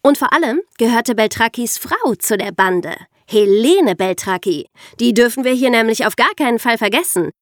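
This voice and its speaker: A woman's voice: a little high, expressive, normal speed, few pauses, a bit bright, no echo, clean studio sound. A woman hosting a podcast, explaining things in a storytelling way with rhetorical questions. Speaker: podcast host.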